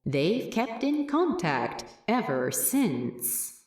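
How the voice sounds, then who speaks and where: A woman's voice: medium pitch, big pitch swings, drawn-out words, a little mellow, a little hiss or hum. A woman narrating a scripted story expressively, recorded with echo and slightly away from the microphone. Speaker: fan fiction narrator. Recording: echoey, slightly distant microphone.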